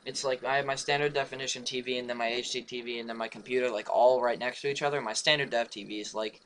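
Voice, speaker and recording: A young man with a raspy, just-woken voice, rambling through a casual update for his gaming channel with many fillers and restarts. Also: medium pitch, fairly even intonation, fast, fairly bright tone, slight background noise. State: hoarse, just woke up. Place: home room beside his gaming setup.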